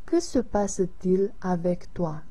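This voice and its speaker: A concerned young woman checks on her friends, as a woman reads her lines. The voice is unhurried, soft and mellow, with a slightly breathy edge, in the plain manner of a recorded lesson dialogue. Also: fairly low pitch, animated, exaggerated voice.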